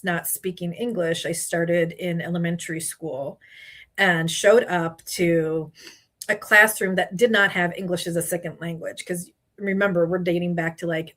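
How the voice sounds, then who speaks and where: A middle-aged woman whose first language is Spanish, telling stories casually in conversation in an animated way. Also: on the low side. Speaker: podcast guest.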